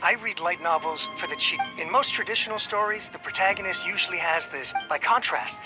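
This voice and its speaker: A high-pitched, chatty townsman. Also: huge pitch swings, fairly quick, low-quality recording. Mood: candid. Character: chatty male townsperson who reads light novels. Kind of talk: English video game voice acting. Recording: game music behind the voice.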